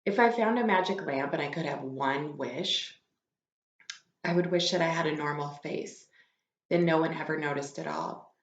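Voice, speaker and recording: A woman's voice: sits low, normal speed, lo-fi sound. A woman teacher reading a book aloud to a class in a conversational, explanatory way. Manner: conversational, explanatory, poses questions to listeners. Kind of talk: read-aloud with asides to students. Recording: roomy echo.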